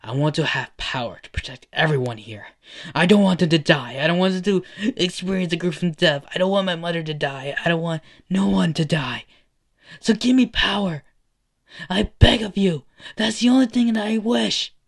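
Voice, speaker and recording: A man's voice: mid-range voice, big pitch swings, slow, gravelly, long pauses, darkish tone, breathy edge, no room sound, clean studio sound, heavily acted. A man voicing an anguished teenage boy who pleads desperately in a fan-made anime drama. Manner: pleading, screaming, repeating words.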